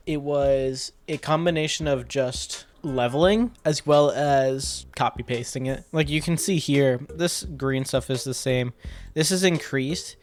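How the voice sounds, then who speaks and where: A man giving a casual, step-by-step commentary as a video editor breaking down his sound design work. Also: mid-range voice. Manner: informal, explains step by step. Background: music tracks and sound effects being demonstrated.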